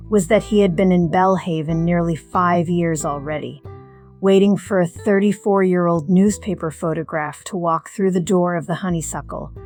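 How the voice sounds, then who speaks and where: A woman playing a middle-aged retired firefighter telling her own story in an audio drama. Her voice sits fairly low and she goes very slowly, measured and reflective with a wry edge. Soft music runs underneath. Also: lightly acted. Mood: wry, tender. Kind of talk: first-person scripted story narration.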